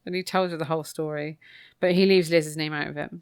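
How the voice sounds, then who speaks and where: A woman with a fairly low, clean and smooth voice co-hosts a book podcast. She chats casually through the plot with a dry, irreverent edge and swears freely. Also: fairly even intonation, fast. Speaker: podcast co-host. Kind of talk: casual book recap chat.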